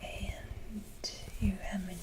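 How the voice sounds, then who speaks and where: A woman whispering softly in a casual ASMR style, talking to viewers with frequent hesitant pauses mid-sentence. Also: mid-range voice, flat delivery. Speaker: ASMR video creator.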